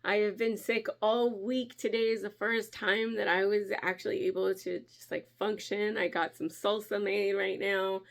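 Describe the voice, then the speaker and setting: A woman's voice: mid-range voice, steady tone, normal speed, creaky, hardly any pauses. A woman hosting a crafting vlog in casual chat, stuffy and nasal from a cold, talking from her kitchen at home. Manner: chatty, friendly, often laughing. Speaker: crafting channel host.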